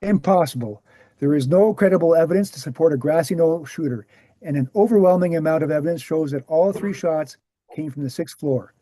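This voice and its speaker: Man acting earnest male researcher, very soft dark tone, slightly high pitch, measured explanations, screenplay table read, dry close sound. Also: no room sound, natural voice. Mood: assured. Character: Gary, earnest male presenter, calm debunking researcher.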